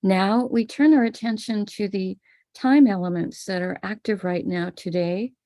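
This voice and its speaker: Gravelly, mellow-voiced American woman. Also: mid-range voice, moderate pace. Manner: calm, explanatory, step-by-step. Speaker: spiritual teacher leading a ritual. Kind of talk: live-streamed ritual instruction. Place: home room with a small altar table.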